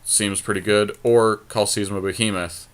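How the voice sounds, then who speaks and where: A man's voice: fairly low pitch, steady tone, moderate pace. A man co-hosting a podcast, talking casually and thinking aloud as he comments on a card game draft. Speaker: podcast co-host.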